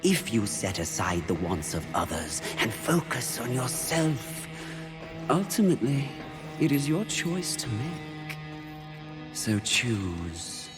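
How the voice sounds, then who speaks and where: A man's voice: medium pitch, very animated, slow pace, long reverb tail. A man playing a seductive, mocking demon of temptation in a video game cutscene, with silky theatrical delivery. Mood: coaxing. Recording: loud music behind.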